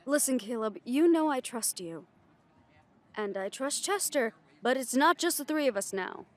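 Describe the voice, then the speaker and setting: A woman playing a frank, higher-voiced werewolf lieutenant. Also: expressive, normal speed. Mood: wary, serious. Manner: frank, questioning, dryly sardonic. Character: frank, cautious female werewolf second-in-command, dryly sardonic. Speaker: voice actor in audio roleplay. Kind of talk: scripted audio roleplay drama.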